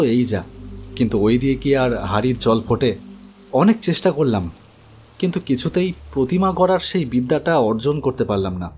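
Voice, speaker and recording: A man playing an earnest, uneasy protagonist who narrates his own story in an animated horror drama. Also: mid-range voice, slight background noise, close to an everyday voice. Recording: music under the voice. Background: background music.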